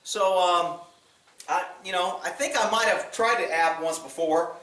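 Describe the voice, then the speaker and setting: A man's voice: high-pitched, a little slow, sharp tone. An American man chatting casually as a vlog host, rambling in a blunt, folksy way with mild cursing. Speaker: video channel host. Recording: roomy, echoey sound.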